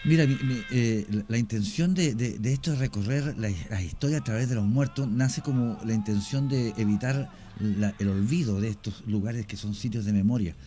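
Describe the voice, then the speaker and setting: A man, a radio guest explaining his cemetery work at length, often pausing with fillers. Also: lowish voice, dark tone. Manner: explanatory, hesitant with many fillers. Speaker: guest, cemetery tour creator. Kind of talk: radio interview.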